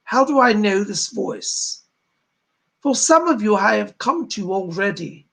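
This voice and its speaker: A man channels a solemn, benevolent male spirit guide. The voice sits unusually high for a man and is husky, with a creaky edge. He speaks in formal, old-fashioned phrases, like a preacher at a séance. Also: animated, a little slow, a bit bright, exaggerated voice. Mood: solemn, earnest. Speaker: trance medium speaking as a spirit. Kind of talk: séance address, sermon-like.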